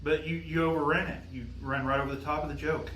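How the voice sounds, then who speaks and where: Male podcast co-host, playful ribbing, bright and forceful tone, roomy sound. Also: on the high side, level tone, quick pace. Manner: teasing, laughs often. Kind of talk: casual banter. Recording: echoey room with faint noise.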